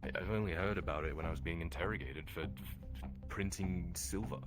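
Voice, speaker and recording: A grim figure of fantasy intrigue played by a man, with an extremely deep voice. He is serious and terse in improvised roleplay, with loud music behind him. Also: a little fast, lightly acted, rough recording. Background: loud background music.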